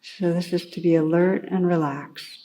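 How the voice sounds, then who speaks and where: A woman meditation teacher leading a guided meditation in a calm, unhurried way, gently instructing with long pauses. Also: fairly low pitch, poor audio.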